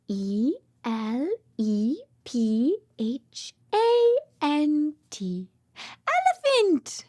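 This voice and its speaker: A woman's voice: high-pitched, sing-song, extremely slow, darkish tone, dry recording, clean studio sound. A woman doing an interactive picture-book read-aloud for toddlers, with exaggerated sing-song delivery, playful repetition, gasps and whispers. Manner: exaggerated sing-song child-directed talk; repeats words playfully. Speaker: children's read-aloud host, speech therapist.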